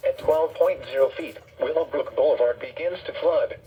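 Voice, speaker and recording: A synthetic male announcer reading a scripted emergency weather bulletin in a flat, formal way through a weather radio speaker. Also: on the high side, normal speed. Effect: played through weather radio speaker. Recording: dull radio speaker, faint background noise.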